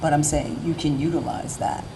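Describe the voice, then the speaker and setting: A woman's voice: low-pitched, steady tone, normal speed. A woman on an art school panel, speaking emphatically in a casual, conversational way. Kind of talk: panel discussion. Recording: noisy room recording.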